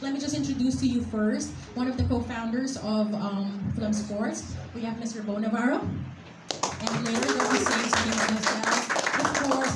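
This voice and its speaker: A woman's voice: a little high, recorded from a distance, heavy background noise. A woman emceeing a press conference, giving polite, lightly scripted introductions. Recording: echoey arena from afar, loud ambience.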